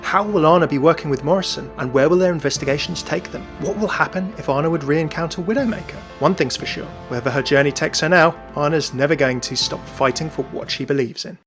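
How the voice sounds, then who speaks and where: Man, slightly high voice, brisk scripted narration, game lore video, faint music bed. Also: fairly bright tone.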